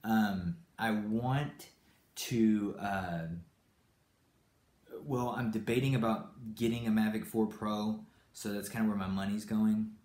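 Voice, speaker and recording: A man's voice: fairly low pitch, steady tone, takes it slowly, darkish tone, echoey room. A man who grew up in Brazil, hosting a live stream and answering viewers in a rambling, casual way with many fillers and restarts. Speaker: channel host.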